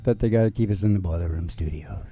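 A man's voice: sits low, fast talker, lo-fi sound. A man in his sixties hosting a show from a stage, giving rambling, folksy, laid-back banter that drifts off into asides. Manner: meandering, laid-back storyteller with trailing-off asides. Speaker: musician and show host. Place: small indoor stage with curtain. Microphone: stand microphone, close. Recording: live music behind the voice. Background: acoustic guitar picking.

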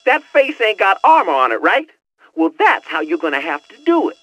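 A man's voice: high-pitched, very animated, normal speed, bright, forceful tone, no room sound, full character voice. An actor playing a boastful young male hacker who talks in teasing street slang over a headset in a video game. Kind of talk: video game cutscene voice acting.

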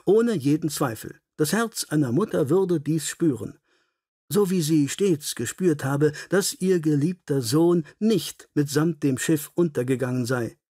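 Man narrating, slightly high, husky voice. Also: a little mellow, no room sound, clean studio sound. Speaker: audiobook narrator. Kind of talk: scripted narration of a detective story.

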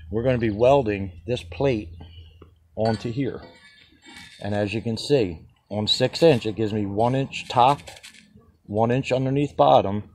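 Man, low voice, practical contractor talk, step by step, outdoors on a handheld phone, faint background noise. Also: darkish tone. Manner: practical, explains step by step with fillers. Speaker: contractor showing a fabrication method. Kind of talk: casual how-to walkthrough. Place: backyard, outdoors.

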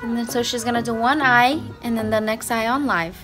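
A young woman chats casually to her vlog camera in a fairly high voice. She is excitable and gushing, talking at arm's length from the mic with clear music in the background. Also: a little fast. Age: young adult. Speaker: vlogger. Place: car, street and rooms, on the move. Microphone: phone or camera at arm's length.

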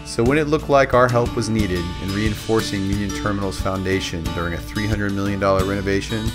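A man reading a scripted corporate voice-over with a steady, measured delivery. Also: fairly low pitch. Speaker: narrator. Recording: voice-over with music bed.

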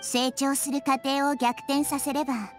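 A woman voicing a tiny, childlike nature goddess in an anime game, soft and girlish, speaking in a measured, earnest, gentle way. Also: high-pitched, little pitch movement. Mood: calm resolve. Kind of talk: Japanese anime game voice acting. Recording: studio voice over background music.

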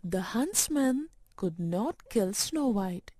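A woman reading a scripted children's fairytale in deliberate short phrases, as a storyteller to young children. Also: mid-range voice, big pitch swings, no room sound. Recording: loud music and effects over voice.

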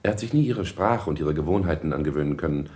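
A sober male observer in a radio play. His voice sits very low. He sketches a character in measured, matter-of-fact sentences. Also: expressive, darkish tone.

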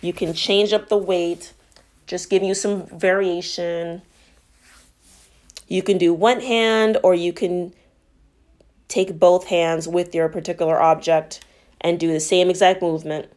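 A woman's voice: fairly low pitch, fairly even intonation, a little slow, pauses often, a little mellow. Woman fitness instructor guiding a workout. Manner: encouraging, explanatory.

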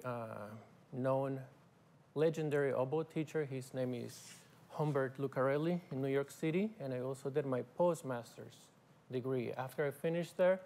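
A middle-aged man from Argentina, a guest musician, talking in a halting, casual way with fillers and restarts in a TV studio on a lapel mic. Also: medium pitch, a little mellow. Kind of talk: casual interview talk. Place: TV studio set.

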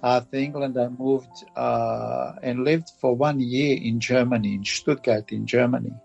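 A man in his fifties with a Balkan accent, talking casually and haltingly as an interview guest. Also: medium pitch, fairly even intonation, gravelly, darkish tone, dull audio, faint music behind. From Bosnia and Herzegovina, former Yugoslavia. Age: 50s. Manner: halting, repeats words. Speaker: podcast guest. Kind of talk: casual interview talk, recounting.

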